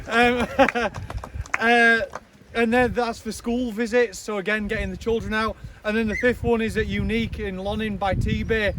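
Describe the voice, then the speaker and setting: A young man giving a loud, chatty speech outdoors at a charity launch, with many ums and joking asides. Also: extremely high pitch. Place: outdoors in the snow with a crowd. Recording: noisy outdoor recording.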